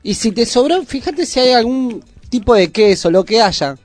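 A man's voice: very high voice, animated, normal speed, faint music behind. A man with an Argentine accent hosting a cooking segment in casual, colloquial chat full of affectionate diminutives. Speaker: host of a youth culture radio cooking segment.

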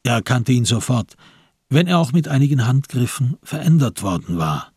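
A man with a gravelly, husky voice giving literary narration in a radio crime drama. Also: mid-range voice, big pitch swings, moderate pace, darkish tone, no room sound, studio quality.

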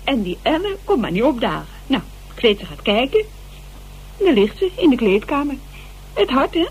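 A woman's voice: high voice, normal speed, slight background noise, low-quality recording. An actress playing a chatty, irreverent woman amateur sleuth in a colloquial radio drama. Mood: eager, gossipy. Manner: gossipy, animated, colloquial. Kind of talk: conversational radio drama acting.

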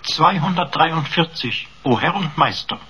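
Bright, pompous servile computer. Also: male voice, on the high side, expressive, unhurried, a little hiss or hum, rough recording. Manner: pompous, flowery, mock-servile. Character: eccentric talking computer, obsequiously servile and long-winded. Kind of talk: German radio play acting.

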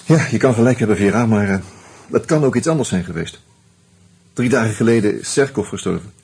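An actor playing an easygoing amateur sleuth who talks hesitantly and narrates wryly in a Dutch radio drama. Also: male voice, lowish voice, lively intonation, fairly quick, a little mellow, breathy edge. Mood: pensive. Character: Hans, easygoing amateur sleuth and wry first-person narrator.

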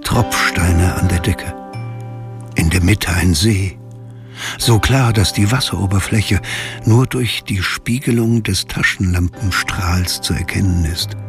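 A man narrating a radio drama as a calm, observant storyteller, measured and sober. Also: sits low, vocal fry, music in the background, exaggerated voice. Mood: hushed, descriptive. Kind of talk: scripted present-tense radio drama narration.

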